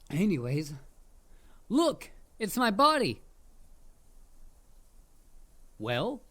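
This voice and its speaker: A performer voicing a mischievous, excitable headless dullahan in a roleplay audio drama, playful, theatrical and full of jokes. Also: mid-range voice. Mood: relieved, bossy.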